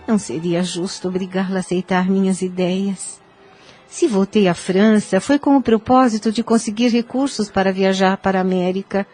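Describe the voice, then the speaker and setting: A woman's voice: mid-range voice, darkish tone, close to an everyday voice. Plaintive ailing mother with Brazilian accent woman acting. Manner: formal, plaintive. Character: ailing, sorrowful middle-aged mother, gentle and resigned. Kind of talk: melodramatic audio drama acting.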